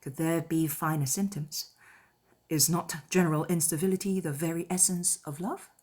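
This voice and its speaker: A woman plays a young gentlewoman from a classic novel, witty and full of spirit. Her delivery is emphatic and archly ironic, with a slightly husky edge to the voice. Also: low voice, steady tone, normal speed. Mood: playful, arch. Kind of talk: dramatized classic novel audiobook.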